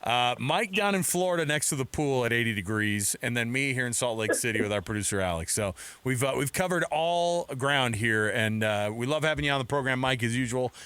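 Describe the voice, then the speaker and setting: A man's voice: mid-range voice, lively intonation, brisk, few pauses, a bit bright, smooth, no room sound. A man hosting a sports talk radio show, chatting informally in a casual, bantering way from a studio. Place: radio studio in Salt Lake City. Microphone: close studio microphone.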